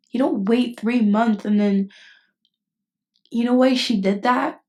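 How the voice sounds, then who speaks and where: A woman's voice: medium pitch, darkish tone, lightly acted. A woman voice acting a caring, indignant best friend in an intimate ASMR roleplay, speaking casually and bluntly. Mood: exasperated, earnest. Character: protective, indignant female best friend comforting someone. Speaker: voice actress.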